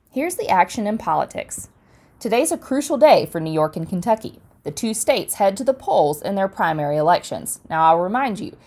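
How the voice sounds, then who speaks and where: A woman's voice: medium pitch, darkish tone. A woman hosting a news podcast, reading a scripted digest in a conversational, punchy way with wry asides.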